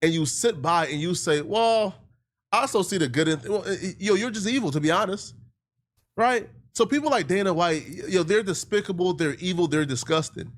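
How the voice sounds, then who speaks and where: A man's voice: high-pitched, lively intonation, fairly quick. A man giving emphatic, indignant, slangy commentary, repeating phrases for effect. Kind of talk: animated opinionated video commentary.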